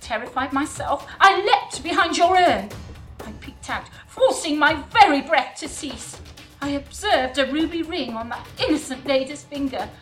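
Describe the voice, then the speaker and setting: A high, highly expressive young gentlewoman played by a woman from a theatrical folk tale. She is bold and formal, weighting each old-fashioned phrase for drama. Faint music plays behind her. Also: normal speed, low-quality recording. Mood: horrified, accusing. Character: bold young gentlewoman bride recounting a sinister dream.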